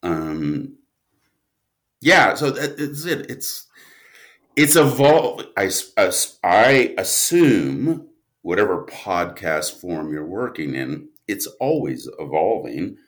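A man talking casually as a podcast guest, an animated storyteller who rambles and interrupts himself. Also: low-pitched, takes it slowly. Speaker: guest, audio drama writer and producer.

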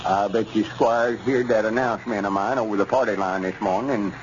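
An actor playing a folksy, scheming Southern country storekeeper with homespun talk in 1930s radio comedy. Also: male voice, fairly low pitch, steady tone, a little fast, natural voice. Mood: smug. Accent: rural Southern US. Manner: drawling, homespun backwoods talk. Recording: muffled, noisy 1930s radio recording.

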